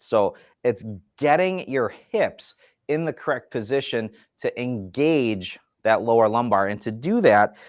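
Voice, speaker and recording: A man's voice: medium pitch, dry recording, clean studio sound. A man giving an enthusiastic, explanatory product demonstration in a casual chat, with a folksy manner. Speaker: furniture company representative.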